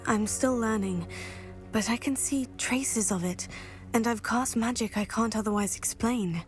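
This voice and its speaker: A woman's voice: a little high, measured pace, lightly acted. An actress playing a polite, composed young female student in a video game. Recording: game audio with faint music.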